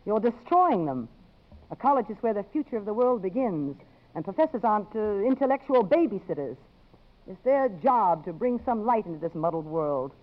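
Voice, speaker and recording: A woman's voice: mid-range voice, sing-song. Poised, principled woman, muffled radio drama. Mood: indignant, cool. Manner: measured, emphatic, articulate. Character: poised, principled, outspoken accomplished woman returning to college. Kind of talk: 1950s radio drama acting. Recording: muffled old radio broadcast.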